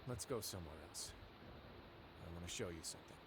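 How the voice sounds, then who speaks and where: An actor playing a calm, wry duke in a video game, speaking in a measured, composed way. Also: male voice, low voice, slightly rough, heavily acted. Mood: grave, composed. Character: calm, wry male duke ruling an underwater prison fortress. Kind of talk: scripted video game dialogue and storytelling.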